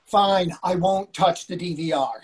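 A man's voice: high voice, unhurried, slightly rough. An older man playing an impatient, grumbling husband, blunt and exasperated, in a comedy play read over a video call. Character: impatient, grumbling husband craving beer and food. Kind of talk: readers theatre comedy acting. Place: indoor room on a video call. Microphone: video call microphone.

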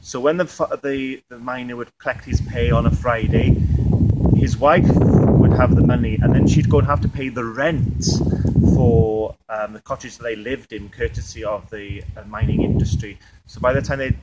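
A man's voice: mid-range voice, fairly even intonation, moderate pace. A Welsh man in his thirties, a native Welsh speaker, answering interview questions outdoors, hesitant with many fillers and restarts. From Wales. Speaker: tourism representative. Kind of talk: on-camera interview. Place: outdoor museum yard by stone buildings. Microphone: camera mic, outdoors. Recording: outdoor noise behind.

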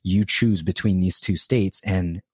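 A man's voice: low voice, fairly even intonation, a little slow, husky, no room sound, studio quality. A man in his thirties chatting as a podcast guest, easygoing, laughing often and rambling with restarts. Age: 30s. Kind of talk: casual interview chat.

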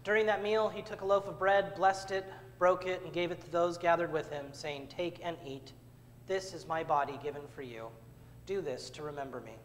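A man serving as pastor, leading the communion liturgy in a measured, solemn way, recorded from a far camera in a church sanctuary. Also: fairly high pitch, fairly even intonation, slight background noise. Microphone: far camera at back of church. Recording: church livestream with long reverb.

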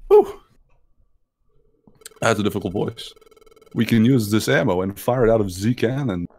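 A woman's voice: very deep voice, a little mellow. A woman reading aloud for an eccentric male scientist in a video game, putting on a shaky mock German accent. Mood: pompous. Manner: halting, admits accents are hard for her.

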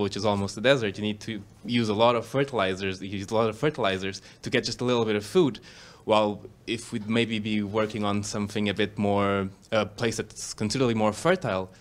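A man's voice: fairly low pitch, steady tone, fast, few pauses. A man from Portugal asking a question at a lecture, careful and hesitant in his phrasing. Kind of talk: audience question.

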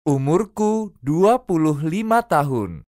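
A woman reading short phrases slowly and clearly, each repeated for language learners. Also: deep voice, sing-song, darkish tone, no room sound, clean studio sound. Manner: careful, clearly enunciated. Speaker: native Indonesian speaker reading phrases.

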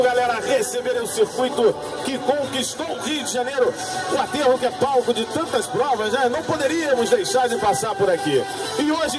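A man announcing a running event outdoors in a hyped, projecting manner with drawn-out words. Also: very high-pitched, far from the mic. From Brazil. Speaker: race announcer. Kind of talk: live sports event announcing. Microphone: handheld microphone. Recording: outdoor PA, loud music and crowd.

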